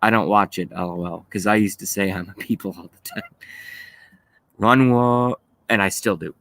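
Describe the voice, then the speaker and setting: Low-pitched man rambling on a livestream. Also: a bit bright. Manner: rambling, halting, often swearing. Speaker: livestream host. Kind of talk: casual political rant while reading live chat.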